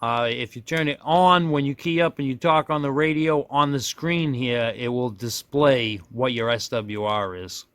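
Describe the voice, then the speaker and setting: A man with a low-pitched voice walks through a hands-on demo in an unscripted, folksy way. He rambles a bit and pokes fun at his own slips. The sound is dry and close, with little room to it. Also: moderate pace, few pauses, fairly bright tone, clean tone, no room sound. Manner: folksy, self-deprecating, rambling with mid-thought corrections. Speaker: product reviewer demonstrating a radio. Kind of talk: casual hands-on demonstration, unscripted.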